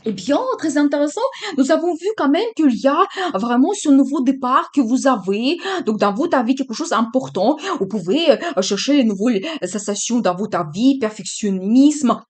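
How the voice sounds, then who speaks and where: Higher-pitched woman giving a halting tarot reading. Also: expressive. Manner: hesitant, many fillers, encouraging. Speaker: tarot reader.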